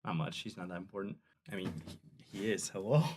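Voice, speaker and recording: A man's voice: low-pitched, fairly even intonation, fast, darkish tone, a little hiss or hum. Joking man hosting a casual chat. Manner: joking, teasing, laughs often. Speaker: podcast host.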